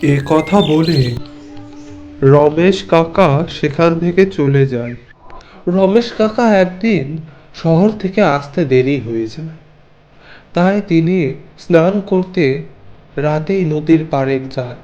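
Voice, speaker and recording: A man's voice: a little high, takes it slowly, pauses often, darkish tone, dull audio, soft background music. A man narrating a scripted ghost folktale as a cartoon storyteller.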